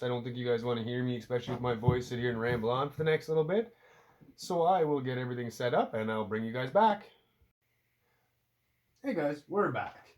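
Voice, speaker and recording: A man from Canada with a stuffed-up cold, chatting casually and rambling to camera about his hobby, with offhand swearing and self-deprecating asides, recorded indoors at home. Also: mid-range voice. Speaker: outdoor hobby vlogger.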